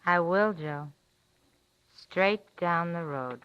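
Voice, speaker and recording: An actress playing a cool, threatening young femme fatale with clipped, mocking delivery, in 1950s radio thriller style. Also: female voice, fairly low pitch, takes it slowly, clear, resonant tone, no room sound. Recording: muffled, noisy 1950s radio recording.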